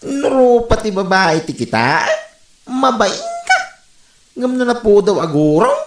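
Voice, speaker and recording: A woman's voice: a little high, wildly swooping pitch, measured pace. A woman playing a teasing, nosy cousin in a radio comedy drama, exclaiming with a Filipino accent. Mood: mocking, gleeful. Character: mocking, giggly, nosy cousin who teases relentlessly. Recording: echoey room, faint music behind.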